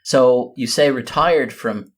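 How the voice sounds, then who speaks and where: A man's voice: medium pitch, takes it slowly. A man hosting a podcast, chatting casually with a dry, teasing manner. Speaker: podcast host. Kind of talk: casual chat.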